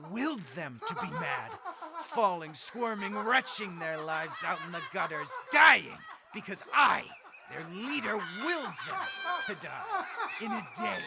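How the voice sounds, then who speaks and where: Radio horror villain: deranged tyrant, highish voice, wildly varied delivery, gloating and theatrical. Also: male voice, vocal fry, heavily acted. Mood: frenzied glee. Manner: theatrical, gloating, swinging from hushed menace to shrieks. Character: megalomaniac mad male leader plotting mass death. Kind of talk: old-time radio horror drama acting.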